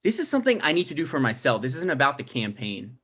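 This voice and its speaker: Man playing stubborn, animated young candidate son. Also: mid-range voice, quick pace, breathy edge, full character voice. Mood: defiant. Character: stubborn, self-righteous son running for mayor. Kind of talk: comedy script table read.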